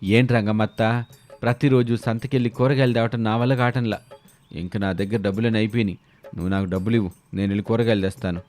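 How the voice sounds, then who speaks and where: A man's voice: on the low side, fairly even intonation, quick pace, darkish tone, faint music behind, close to an everyday voice. A man voicing a grumbling, put-upon village freeloader in colloquial, cartoon dialogue. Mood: exasperated. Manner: colloquial, complaining. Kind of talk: animated moral-story dialogue.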